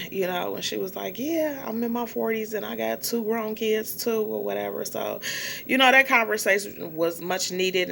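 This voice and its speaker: A middle-aged woman and small business owner talking casually to her viewers in a rambling way, with many fillers and pauses. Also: fairly low pitch, gravelly, a bit bright, a little hiss or hum. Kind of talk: casual vlog monologue.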